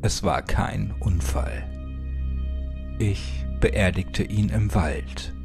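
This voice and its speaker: A man narrating a first-person horror story as a scripted audiobook, with deliberate, dramatic phrasing. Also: lowish voice, vocal fry. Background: music.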